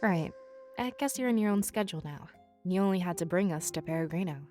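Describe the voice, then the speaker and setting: A woman's voice: on the low side, expressive, quick pace, warm tone, faint music behind, lightly acted. A woman voicing a practical, guarded beast-folk companion in a visual novel game, with a matter-of-fact manner.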